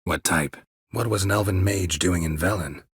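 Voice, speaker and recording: An actor playing a gruff, stoic, sardonic middle-aged monster hunter in a video game, a gravelly rasp and terse, deadpan delivery. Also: male voice, deep voice, moderate pace, no echo, clean studio sound. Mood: measured, inquisitive. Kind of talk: video game character dialogue.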